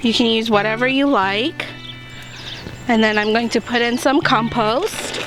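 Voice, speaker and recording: A woman with a slightly hoarse voice, hosting a gardening vlog in an upbeat, chatty way as she walks through each step outdoors. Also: fairly high pitch, measured pace, low-quality recording. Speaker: gardening channel host. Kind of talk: casual how-to chat to camera. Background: background music.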